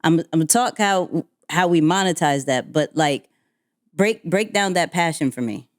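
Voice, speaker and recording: Chatty, lively woman podcast host. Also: deep voice, unhurried, no echo, studio quality. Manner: lively, chatty, repeats herself. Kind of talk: casual interview.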